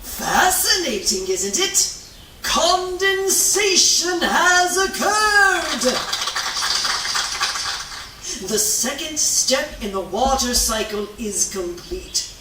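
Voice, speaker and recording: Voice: high voice, big pitch swings, slow pace, heavily acted. A performer voicing a grandiose, theatrical heron scientist in a children's puppet show, pompously declaiming each step. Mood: triumphant. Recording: echoey room, distant mic, noisy.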